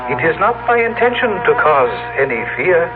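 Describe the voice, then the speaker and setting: An actor playing a strange, calm visitor from a future Earth in an old radio drama, speaking in formal, halting phrases. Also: male voice, fairly high pitch. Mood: calm, reassuring. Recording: tinny band-limited radio recording, music behind.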